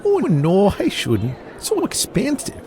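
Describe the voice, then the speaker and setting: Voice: medium pitch, wildly swooping pitch, takes it slowly, a little mellow. An actor playing a modest, flustered wrestler's wife who is bashful, folksy and apologetic in an audio drama. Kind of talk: audio drama character acting.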